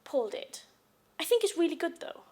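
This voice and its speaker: A woman's voice: very high voice, sing-song, normal speed, dark tone. A woman performing a stage monologue as a jilted, clingy wife, sarcastic and desperately in love. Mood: sheepish, fragile.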